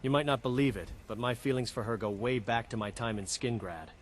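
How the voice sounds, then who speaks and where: A man playing an earnest wood elf companion in a fantasy game, speaking in a measured, reflective way. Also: medium pitch, crowd noise, natural voice. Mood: earnest, wistful. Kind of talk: scripted game companion dialogue. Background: game music behind the voice.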